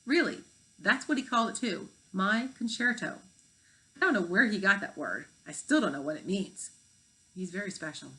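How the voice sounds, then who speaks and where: A woman's voice: mid-range voice, lively intonation, normal speed, bright, forceful tone, rough recording. A woman reading as a proud, baffled mother in a storybook, with wondering emphasis.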